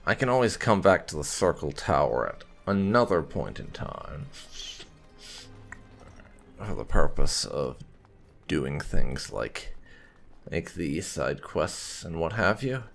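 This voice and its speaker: A man giving casual, expressive, conversational video game commentary with some light roleplay. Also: rumbling low, takes it slowly, vocal fry, long pauses. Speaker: gaming channel host. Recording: faint game music behind.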